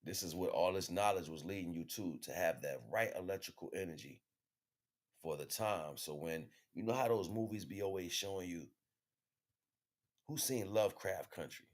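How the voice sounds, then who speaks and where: A man's voice: low voice, moderate pace. An American man giving a casual spiritual monologue as a livestream host, in slangy, profane, conversational speech.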